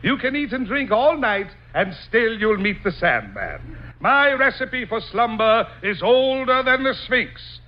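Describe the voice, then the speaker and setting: An actor playing a pompous, hammy poet who declaims silly verse in 1940s radio comedy, on a muffled noisy broadcast. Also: male voice, very high voice, big pitch swings, measured pace, heavily acted. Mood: grandly reciting. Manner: grandiloquent, declamatory.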